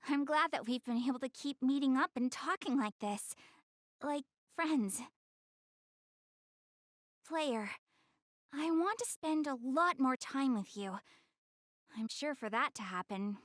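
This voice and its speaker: A high, very animated young goddess girl from an anime video game, recorded dry and close. She is proud and slightly haughty, yet shy and earnest, easily flustered and hesitant when she opens up. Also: dry recording. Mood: bashful, affectionate. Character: shy, prideful young goddess girl, tsundere but caring. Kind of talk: English-dubbed anime video game acting.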